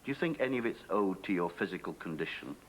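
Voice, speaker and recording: A man's voice: low voice, animated, quick pace, poor audio. Male television interviewer, swooping intonation, slightly hissy archive sound. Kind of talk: interview questioning. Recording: archive broadcast with faint hiss.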